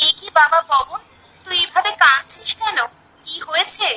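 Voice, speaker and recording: Woman voicing a bright, high-pitched old village mother. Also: moderate pace, a little hiss or hum, full character voice, poor audio. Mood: alarmed, questioning. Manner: exclaiming, questioning, folksy. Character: poor village mother, elderly, fretful and protective. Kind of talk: Bengali animated moral story acting.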